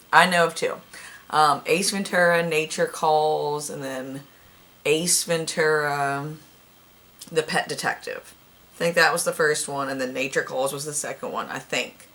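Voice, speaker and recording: A young woman with a raspy voice, chatting casually and gushing about her favorite movies, thinking aloud as she goes. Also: low-pitched, bright, forceful tone. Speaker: vlogger.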